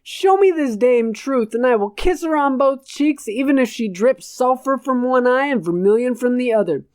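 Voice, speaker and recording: A woman's voice: high-pitched, expressive, normal speed, darkish tone, no room sound, voice pushed up. Storybook rogue preacher, mischievous and irreverent, grandly declaiming mock sermons, acted by a woman, in a dry recording. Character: irreverent, roguish cult preacher and jester Puck.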